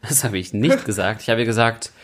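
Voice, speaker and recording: A man's voice: lowish voice, brisk. A young man co-hosting a podcast in a casual chat, playful and self-ironic. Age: young adult. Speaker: podcast co-host.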